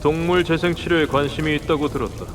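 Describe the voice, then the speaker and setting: An actor playing a smooth, officious corporate agent in a game drama, clipped and insinuating. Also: male voice, on the high side, fast, noisy background, lightly acted.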